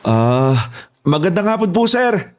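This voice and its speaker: A man's voice: fairly high pitch, very animated, slow pace. A man with a Filipino accent playing a respectful, eager new houseboy in a horror audio drama. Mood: polite. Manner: respectful, deferential. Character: polite, eager, deferential new male houseboy.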